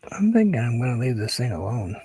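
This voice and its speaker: Male painting-stream host, gravelly and a little husky, relaxed chatty delivery with soft whispered asides. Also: medium pitch, fast talker, no room sound. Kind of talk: casual chat while painting.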